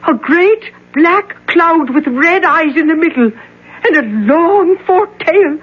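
Irish cleaning woman, superstitious, folksy and earnest, high voice, dull vintage radio sound. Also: takes it slowly. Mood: awestruck. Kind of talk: 1970s radio drama acting.

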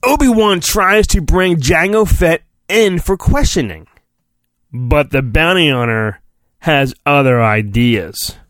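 A man giving a mock-dramatic storybook reading, theatrical in delivery, dropping into goofy, deadpan, sarcastic asides. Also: on the high side, slow, dry recording. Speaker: podcast host.

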